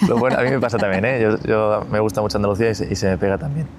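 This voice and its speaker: A man's voice: lowish voice, rapid-fire, slight background noise. A man hosting a business interview, circling through restarts and asides before landing his questions. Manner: rambling, stacks restarts before reaching the question. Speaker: podcast host, interviewer.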